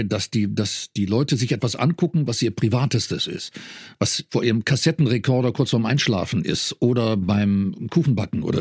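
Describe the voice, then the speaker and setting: A man's voice: on the low side, vocal fry, a little mellow, breathy edge, no echo, studio quality. A man in his fifties talking casually as an interview guest, telling anecdotes in a rambling, self-interrupting way. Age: 50s.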